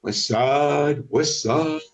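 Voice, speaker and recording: A man's voice: on the high side, very slow. Male podcast co-host, stuffy with a cold, upbeat and self-mocking, casual sports chat. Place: hotel room.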